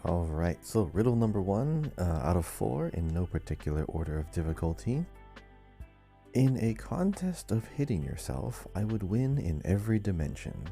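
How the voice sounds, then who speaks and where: A man's voice: on the low side, big pitch swings, moderate pace, gravelly, mellow, soft background music. A man co-hosting a podcast, playing quizmaster and reading out riddles in a casual way.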